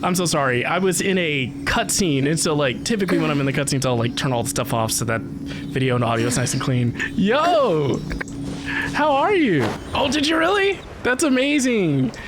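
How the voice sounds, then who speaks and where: Animated game streamer chatting casually. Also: medium pitch, normal speed, over music. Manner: excitable, playful exclamations and chatty asides. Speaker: livestreamer playing a video game. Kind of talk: casual livestream commentary and chat.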